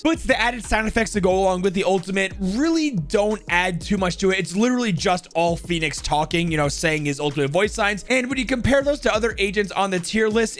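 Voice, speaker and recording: A man with a high-pitched voice hosts a gaming video. He talks informally and conversationally, ranking things and sharing his opinions as he goes. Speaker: video host. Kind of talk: casual ranking commentary on a video game.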